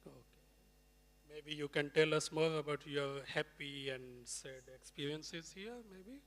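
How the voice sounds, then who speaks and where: A man hosts an informal panel with a forceful, bright voice. It sits a little high for a male speaker. Long reverb from a hall surrounds his questions. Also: measured pace. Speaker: moderator of a student panel. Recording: reverberant hall over microphone.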